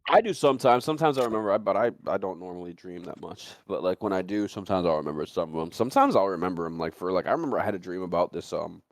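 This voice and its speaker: A man's voice: low voice, rapid-fire, hardly any pauses, no room sound, clean studio sound. A man hosting a livestream, chatting casually in a rambling, slangy way with frequent swearing. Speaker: livestream host. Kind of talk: casual chat.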